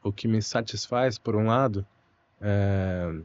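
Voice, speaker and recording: Low-voiced Brazilian man, muffled recording. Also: darkish tone, smooth. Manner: thoughtful, unhurried, frequent "né" and "puxa" fillers. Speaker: guest, Portuguese teacher. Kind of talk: relaxed conversational interview.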